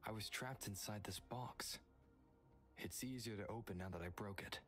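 Guy doing a calm young game hero, lowish voice, quiet and thoughtful, bit of music behind. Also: natural voice. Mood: pensive, measured. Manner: hushed, measured inner monologue.

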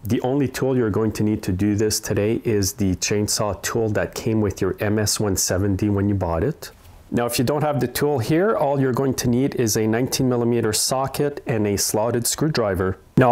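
Casting: middle-aged male repair-tutorial host, lowish voice, plain-spoken and methodical instruction. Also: normal speed, darkish tone. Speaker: small engine repair host. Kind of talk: hands-on tutorial narration. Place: indoor repair workshop.

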